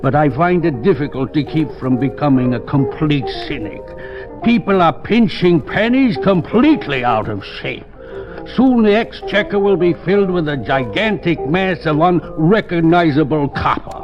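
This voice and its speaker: An actor playing a down-and-out barfly informant in a 1950s radio drama, speaking in pompous, mock-courtly flourishes full of self-pity. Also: male voice, a little high, animated, moderate pace, natural voice. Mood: theatrical lament. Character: down-and-out grandiloquent male barfly informant, theatrically self-pitying. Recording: muffled vintage radio recording with music.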